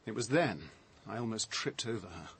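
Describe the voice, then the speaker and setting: An actor playing a shaken, defensive man with a halting, reflective, dry delivery in a radio drama. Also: fairly low pitch, expressive, gravelly, noisy background. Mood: uneasy recounting. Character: shaken man recounting his discovery, defensive, sardonic.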